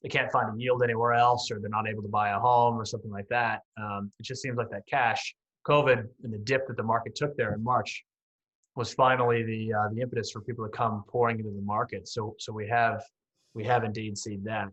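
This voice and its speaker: Male interview guest, late 30s, bright voice, explains conversationally and hedges as he goes. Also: on the low side, little pitch movement, brisk, rough recording. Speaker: podcast guest, company CEO.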